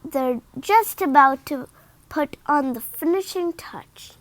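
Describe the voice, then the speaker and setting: A woman reading a children's picture book aloud in an expressive, deliberate manner. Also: high-pitched, dry recording. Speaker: read-aloud storyteller.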